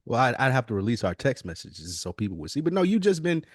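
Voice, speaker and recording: A man's voice: mid-range voice, sing-song, fast, slightly rough, no echo, studio quality. A man hosting a podcast in casual, slangy, teasing banter. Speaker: podcast host.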